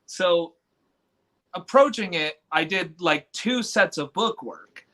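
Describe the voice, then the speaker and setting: Man, actor guest, casual explanation, slightly high pitch, bright tone, video call. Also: lively intonation, slow. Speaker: stage actor being interviewed. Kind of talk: casual interview chat.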